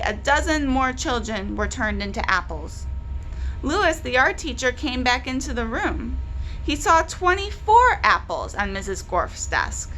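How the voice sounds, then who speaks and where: A woman's voice: high voice, sharp tone, a little hiss or hum, rough recording. A woman storyteller narrating a children's story aloud, expressively and directly to young listeners. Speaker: library storyteller.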